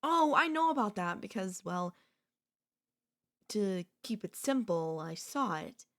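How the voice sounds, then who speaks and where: A woman voicing an obsessive yandere sister-in-law in an ASMR audio roleplay, sweetly menacing, coaxing and chatty, calmly unapologetic. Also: mid-range voice, takes it slowly, heavily acted. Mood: calmly confessional.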